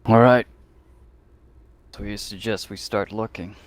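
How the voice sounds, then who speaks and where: A man's voice: fairly low pitch, steady tone, unhurried, background noise. A man taking part in a tabletop roleplaying game as a player, talking casually.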